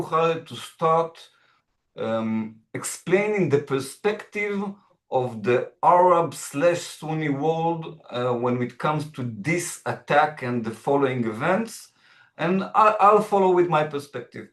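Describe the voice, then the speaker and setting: A man co-hosting an online panel discussion, measured and thoughtful, pausing and restarting as he works through his points. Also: a little high, lively intonation, a bit bright, reverberant. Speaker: founder of a Middle East center, co-host. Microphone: video call.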